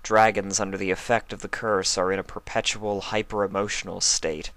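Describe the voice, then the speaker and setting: A man's voice: deep voice, level tone, brisk, a bit bright, no room sound. A man voicing a grave, formal pony princess of the night in a storybook reading. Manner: formal, solemn.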